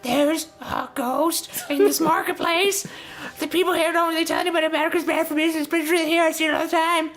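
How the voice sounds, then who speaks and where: A lonely, secretive little girl from a video game, read by a woman. The voice is very high and earnest, like someone sharing a story, with faint music behind it. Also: steady tone, fast talker, a bit bright. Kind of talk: dramatic reading of game text.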